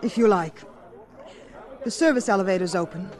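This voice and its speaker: An actress playing a concerned, gently skeptical mother in a radio drama, soothing yet firm and polished. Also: medium pitch, moderate pace, some chatter behind, close to an everyday voice. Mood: polite, guarded.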